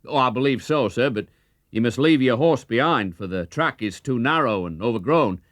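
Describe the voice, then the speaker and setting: A man's voice: medium pitch, animated, moderate pace, no room sound, studio quality, natural voice. A man voicing a bold, sly outlaw who talks eagerly and persuasively in a children's audiobook. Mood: feigned awe.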